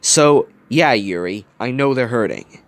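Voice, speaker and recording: A man acting an earnest, animated young man. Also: medium pitch, a little slow. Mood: gentle, concerned. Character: earnest, concerned young man, calm but easily exasperated.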